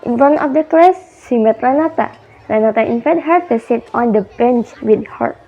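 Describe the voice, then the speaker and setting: High-voiced young woman narrator, dull noisy recording. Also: moderate pace. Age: young adult. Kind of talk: scripted story narration.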